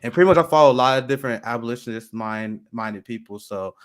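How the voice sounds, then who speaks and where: A man hosting a live talk show, speaking with many restarts and pauses. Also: mid-range voice, a crowd in the background. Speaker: host.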